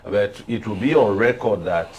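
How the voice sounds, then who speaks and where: A man with a Nigerian accent giving political commentary on a TV panel in measured, oratorical phrasing. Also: on the low side, darkish tone, slight background noise.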